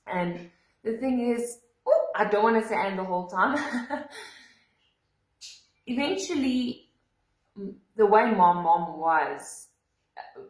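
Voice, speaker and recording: A woman's voice: mid-range voice, echoey room, low-quality recording. A young South African woman whose first language is Afrikaans tells a personal story to camera with earnest emotion, often restarting her sentences. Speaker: vlogger.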